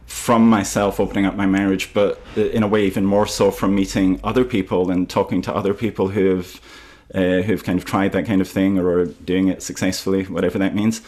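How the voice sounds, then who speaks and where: A Scottish man, an author, talking about his writing in a festival interview, halting with many fillers and restarts. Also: deep voice, darkish tone. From Scotland.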